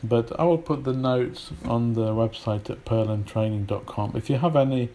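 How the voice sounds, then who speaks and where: A man's voice: medium pitch, normal speed, warm tone, a little hiss or hum. British man, accent tinged with Polish. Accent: British English with Polish influence. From Britain. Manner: rambling, hesitant, many fillers and restarts. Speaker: English teacher. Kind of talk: informal video lesson.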